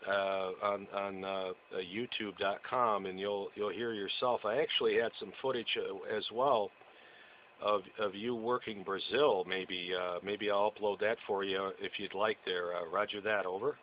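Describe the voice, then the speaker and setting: Low-voiced man chatting on radio, dull audio. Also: steady tone, a little slow. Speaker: amateur and CB radio operator. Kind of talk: casual radio chat with call-and-reply procedure words. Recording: dull lo-fi audio with faint noise.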